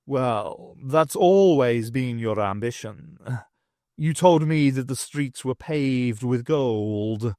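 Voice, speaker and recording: A man's voice: mid-range voice, lively intonation, slow, mellow, no echo, studio quality. Man voicing an anxious, formal talking tomcat. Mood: insistent. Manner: formal, old-fashioned phrasing. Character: anxious, baffled talking male cat companion.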